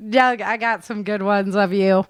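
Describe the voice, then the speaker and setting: A woman's voice: medium pitch, fairly even intonation, slow, clear, resonant tone, dry recording. A woman hosting a podcast in casual chat, with an informal, rambling, giggly way of talking. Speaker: podcast host.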